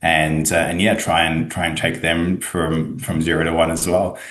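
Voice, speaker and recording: A man in his thirties with an Australian accent, a guest in a casual interview, talking haltingly with many fillers and restarts. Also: low-pitched, level tone, a bit bright, echoey room, slight background noise. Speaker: guest, academic and author.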